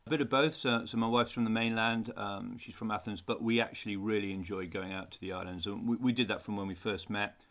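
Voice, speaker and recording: A middle-aged man talks through podcast questions in a low voice. He speaks earnestly and often stumbles into repeated words as he restarts a thought. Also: fairly even intonation, brisk, hardly any pauses, a bit bright. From England. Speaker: design teacher, podcast guest. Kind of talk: conversational interview answers.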